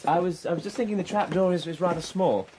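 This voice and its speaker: Male actor young posh sidekick, radio drama, eager, deferential, rapid speech, lightly noisy recording. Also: sits high, natural voice. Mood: eager, nervous. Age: young adult. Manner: polite, eager, chattering, deferential. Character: eager, naive young upper-class male junior agent. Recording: broadcast radio drama with faint noise.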